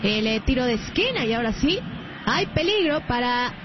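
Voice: mid-range voice, moderate pace, noisy background. Play-by-play football commentator, lo-fi broadcast. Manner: steady play-by-play, frequent fillers. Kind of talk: live match play-by-play.

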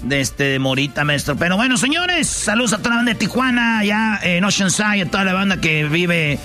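Mexican guy hosting sports banter, higher voice, bright, jokey and slangy. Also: big pitch swings, fairly quick, soft background music. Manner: teasing, slangy, playful. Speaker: sports show host. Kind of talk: casual joking chat.

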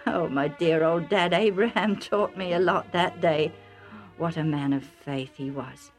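A woman's voice: deep voice, a little slow, soft background music. A woman voicing a faithful, stubborn old man who declaims emphatically in a Bible story. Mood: devout, reminiscing.

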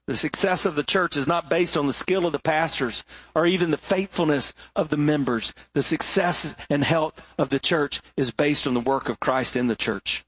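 A middle-aged male pastor preaching a sermon, swinging between rising emphasis and hushed, low moments. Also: normal speed, husky, no echo, poor audio.

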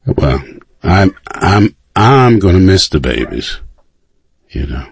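A man speaks casually and reflectively, with some hesitation. His voice is very deep and rough-edged. The recording is dry. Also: sing-song, slow, no echo. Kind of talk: casual conversation.

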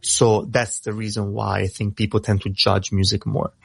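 A man with an Italian accent, speaking English as a second language, who talks fast as a rapper guest on a radio show and often repeats words as he starts a thought. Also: on the low side, flat delivery, creaky, breathy edge, no echo. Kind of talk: casual radio interview.

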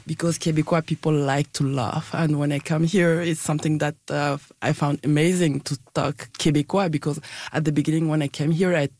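A woman's voice: low voice, fairly even intonation, fairly quick, darkish tone, dry recording. A middle-aged woman from West Africa with a West African French accent, a native French speaker answering interview questions conversationally, searching for words. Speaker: interview guest, election candidate.

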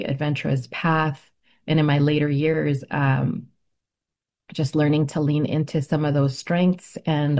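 Middle-aged female educator, native Spanish speaker, low relaxed voice, earnest and articulate in interview. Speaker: ethnic studies educator, interview guest. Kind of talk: reflective interview answers.

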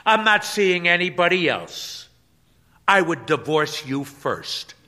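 A man's voice: high voice, big pitch swings, measured pace, bright, forceful tone, pitched above the performer's own voice, exaggerated voice. A man voicing a pastor's wife, an adult woman who is hurt, guarded and wry. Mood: bitter. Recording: long hall reverb.

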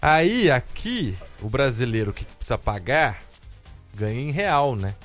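A man hosting a live radio talk show from the studio, with relaxed, joking banter. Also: medium pitch, a little slow, soft background music.

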